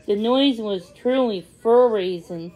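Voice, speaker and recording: A woman reads a children's storybook aloud. Her voice sits a little high and she goes very slowly, often halting between words as she follows the text. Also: a little mellow, rough recording. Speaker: read-along storyteller.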